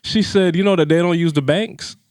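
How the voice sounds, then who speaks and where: A man from Barbados tells a story in a podcast studio and keeps urging his listeners to watch where it goes. His voice sits a touch high. The recording is close and dry. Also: brisk, a little mellow, no echo. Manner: emphatic, repeats "watch what I'm saying". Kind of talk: casual storytelling chat. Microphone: studio mic on boom arm, headphones.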